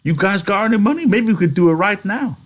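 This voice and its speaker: An old ranch hand acted by a male reader, hopeful and excitable, pitched very high. His talk is rustic and folksy, like a character in a read-aloud novel. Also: moderate pace. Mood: eager, hopeful. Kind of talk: audiobook-style character reading.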